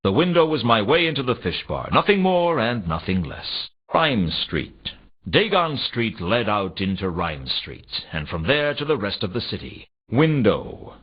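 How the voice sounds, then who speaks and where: A dull, lo-fi game recording of a hard-boiled detective. His husky voice narrates in laconic film noir fashion, dry and world-weary, with very wide swings in pitch. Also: measured pace, heavily acted. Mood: deadpan, brooding. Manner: deadpan, laconic, wry. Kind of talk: scripted first-person video game narration in film noir manner.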